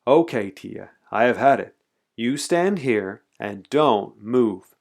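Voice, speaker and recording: A man voicing an exasperated, protective father who scolds loudly in a children's story. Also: sits high, sing-song, normal speed, voice pushed up, heavily acted. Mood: scolding.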